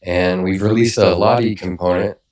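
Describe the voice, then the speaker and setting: Deep-voiced man in an echoey clip. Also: fairly even intonation, normal speed, darkish tone. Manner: casual, upbeat greeting to viewers. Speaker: product announcer in a played clip. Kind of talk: casual product announcement video. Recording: clip played through speakers into a room.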